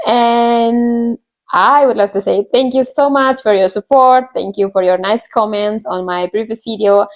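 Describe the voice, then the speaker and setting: Indonesian-accented woman, halting casual storyteller. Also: a little high. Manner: halting, searching for words, repeats phrases. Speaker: vlogger. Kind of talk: casual personal storytelling to viewers.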